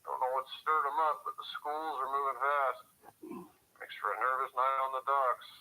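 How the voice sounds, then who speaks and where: An actor playing a grumpy veteran boat owner in a radio drama, gruff, blunt and complaining over a telephone line. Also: male voice, mid-range voice, level tone, moderate pace, sharp tone. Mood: grumbling, uneasy. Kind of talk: radio drama phone call.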